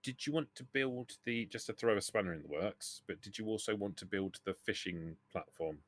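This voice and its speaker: A man's voice: fairly low pitch, moderate pace, a bit bright. A man acting as game master, talking casually as he runs a tabletop roleplaying game.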